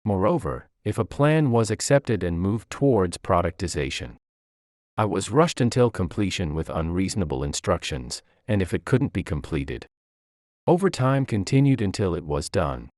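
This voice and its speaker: A man's voice: deep voice, very animated, normal speed, darkish tone, no room sound, studio quality. A man reading a scripted first-person story as its narrator.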